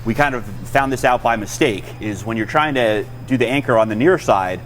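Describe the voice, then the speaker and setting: A man's voice: mid-range voice, fairly quick, slight background noise. An American man in the role of rescue chief, giving an informal explanatory tour of equipment in practical, plain-spoken trade jargon.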